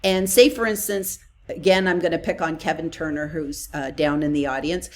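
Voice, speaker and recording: Woman co-host with a lowish voice, walking listeners through practical tips. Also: steady tone, moderate pace. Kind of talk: casual chat.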